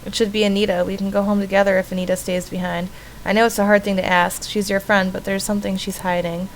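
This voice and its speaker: A woman's voice: mid-range voice, little pitch movement, quick pace, close to an everyday voice, rough recording. A woman voicing an earnest, pleading and distrustful young girl in a horror visual novel. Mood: pleading, insistent. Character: pleading, distrustful young girl, little sister trapped in painting. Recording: faint background noise.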